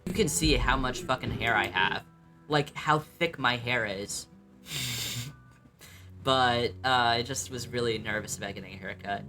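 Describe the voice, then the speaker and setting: A young man with a light, mid-pitched voice that has a touch of bass. He chats casually and bluntly, mocks himself, and swears without a second thought. Music plays under his talk. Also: unhurried. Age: young adult. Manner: chatty, blunt and self-mocking, swears freely, many ums. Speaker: vlogger reacting to his old videos. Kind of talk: casual reaction commentary.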